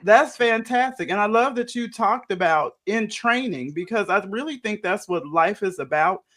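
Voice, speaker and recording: A woman's voice: medium pitch, lively intonation, moderate pace, fairly bright tone. A woman hosting a coaching interview, enthusiastically affirming her guest's answers before asking the next question. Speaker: host, life coach.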